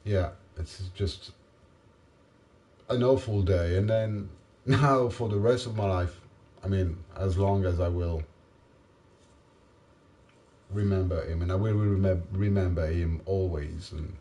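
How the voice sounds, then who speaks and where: A man, tired after a late night, rambling haltingly to the camera in a self-mocking way. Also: fairly even intonation, dark tone. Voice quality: deep voice. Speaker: vlogger. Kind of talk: casual rambling monologue.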